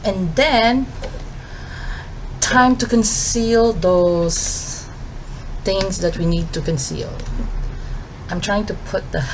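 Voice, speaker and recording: Slow, easygoing Filipino woman vlogger. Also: medium pitch, a little hiss or hum, poor audio. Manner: chatty, with fillers like "um" and "like" while doing makeup. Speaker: beauty vlogger. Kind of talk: casual get-ready-with-me chat.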